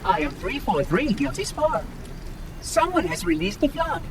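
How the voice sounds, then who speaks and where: Eccentric, courteous machine caretaker, formal and precise with lively swoops, slightly distant, noisy game ambience. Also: male voice, very high-pitched, normal speed. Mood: courteous, cheery. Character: polite, eccentric, chirpy floating robot caretaker. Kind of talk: video game cutscene acting.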